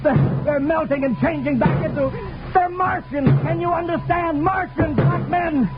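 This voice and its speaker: A man's voice: very high voice, moderate pace. A man playing an earnest, rattled spaceship captain in a 1950s radio drama, with urgent, stammering repetitions. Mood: desperate pleading. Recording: muffled vintage radio recording, loud music.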